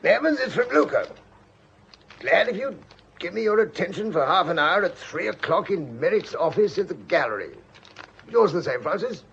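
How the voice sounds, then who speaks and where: An actor playing an irascible, haughty upper-class gentleman in a radio drama, curt, with a husky, gravelly voice. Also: sits high, very animated, normal speed, slight background noise, exaggerated voice. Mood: brusque. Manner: curt, imperious. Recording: dull-sounding radio drama recording.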